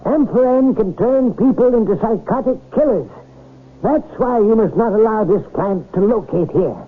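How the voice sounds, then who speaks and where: An actor playing an earnest, alarmed former research chief giving insistent, ominous warnings in an old-time radio drama. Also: male voice, high voice, expressive, moderate pace, breathy edge. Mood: agitated, insistent. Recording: muffled old radio broadcast recording.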